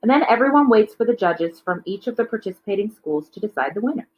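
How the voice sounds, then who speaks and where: A woman's voice: mid-range voice, animated, quick pace, muffled recording. A woman narrating a children's novel aloud like a teacher, occasionally stumbling over words and correcting herself. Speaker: teacher reading a book aloud.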